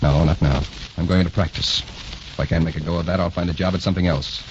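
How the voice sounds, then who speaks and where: An American actor playing an earnest, intense young doctor torn between love and career, in 1940s radio drama style. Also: male voice, deep voice, steady tone, fast, natural voice. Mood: regretful. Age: young adult. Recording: dull, noisy 1940s radio recording.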